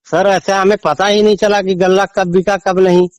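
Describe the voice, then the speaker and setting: A village man speaks as a caller with a complaint on a recorded phone line, his voice a little high and free of echo. His eastern Uttar Pradesh accent comes with a halting, respectful way of answering. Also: little pitch movement. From Azamgarh region, India. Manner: deferential, halting. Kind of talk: answering questions in a phone call. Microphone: recorded phone call.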